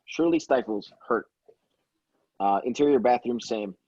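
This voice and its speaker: Man, matter-of-fact table-read narrator, mellow husky voice, lo-fi recording. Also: mid-range voice, a little slow, dry recording. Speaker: stage directions reader at a table read. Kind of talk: reading script directions aloud.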